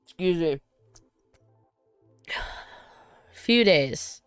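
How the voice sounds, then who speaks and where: A woman's voice: fairly low pitch, animated, drawn-out words, smooth, dry recording, faint music behind. A woman hosting a casual livestream, rambling and chatty as she reads viewer comments, her voice still recovering from being sick. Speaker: livestream host.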